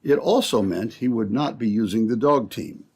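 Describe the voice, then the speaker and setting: A man's voice: on the low side, normal speed, darkish tone. A man narrating an old-time radio adventure from a script in storytelling style.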